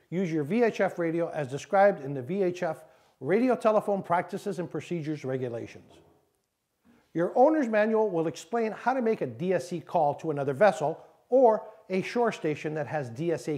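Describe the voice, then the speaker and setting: Higher-voiced man narrating safety instruction. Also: darkish tone.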